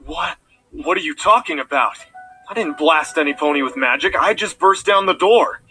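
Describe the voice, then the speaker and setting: Man playing a creaky, hot-headed stallion prince. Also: a little high, sing-song, normal speed, bright, forceful tone, soft background music, heavily acted, low-quality recording. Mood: bewildered. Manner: urgent, dramatic. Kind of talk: fan cartoon voice acting.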